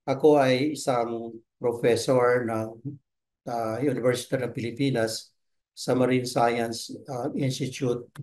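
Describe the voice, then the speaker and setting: A man speaks as an expert guest in an interview, at an average pitch. His delivery is halting and hesitant as he explains, and he slips English terms into his sentences. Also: steady tone, a little mellow, rough recording. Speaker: expert guest, marine science professor.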